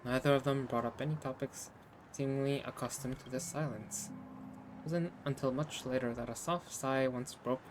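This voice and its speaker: A man's voice: medium pitch, fairly even intonation, moderate pace, noisy background. A man streaming a game, reading its narration aloud and reacting casually.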